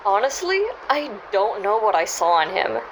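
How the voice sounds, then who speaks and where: A woman's voice: medium pitch, big pitch swings, moderate pace, a little hiss or hum, rough recording. A woman playing a shaken, self-doubting young woman in a naturalistic audio drama. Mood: casual.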